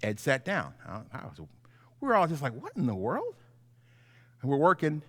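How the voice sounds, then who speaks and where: Male children's pastor, higher-pitched voice, theatrical story-time delivery with stretched emphasis, long hall reverb. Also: wildly swooping pitch, a bit bright, slightly rough. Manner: theatrical, drawn-out emphasis on key words. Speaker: pastor telling stories to children. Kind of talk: animated children's story time, sermon-like lesson.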